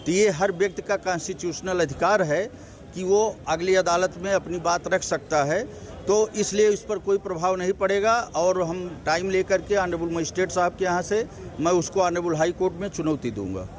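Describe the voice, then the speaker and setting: Higher-pitched north Indian man, formal lawyer. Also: normal speed. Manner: formal, mixing in English legal terms. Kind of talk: press statement on a court ruling.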